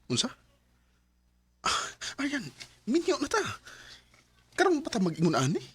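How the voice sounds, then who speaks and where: A man's voice: very high voice, huge pitch swings, a little slow, heavy background noise, heavily acted. A man with a Cebuano Filipino accent playing an earnest, devoted young husband in a radio drama. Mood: hurt, upset.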